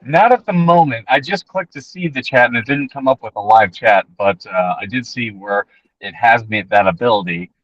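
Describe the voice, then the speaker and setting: A man's voice: mid-range voice, normal speed, fairly bright tone, no room sound. A man joining a casual chat as a guest over a remote call, friendly and plain-spoken. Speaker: guest, military veteran. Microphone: remote call connection. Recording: dull lo-fi call audio.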